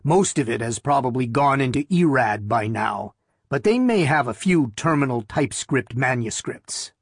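A man voicing a big, thoughtful, assured male boss with a deliberate manner in an audiobook story. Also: mid-range voice, expressive, husky, no echo, clean studio sound. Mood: decisive.

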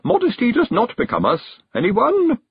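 A man's voice: very high-pitched, wildly swooping pitch, normal speed, gravelly, slightly rough, no echo, highs cut off, voice pushed up, heavily acted. A man voicing a flustered, posh woman who hosts a witches' event, gushing with strained, trilling cheer in an audiobook story. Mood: strained brightness. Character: flustered posh middle-aged woman, bright brittle hostess witch.

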